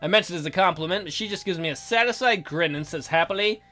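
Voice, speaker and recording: A man's voice: high voice, fast talker, pushed and bright. A man reading a visual novel aloud as a let's play host, in a theatrical, jokey way with his own ad-libbed commentary.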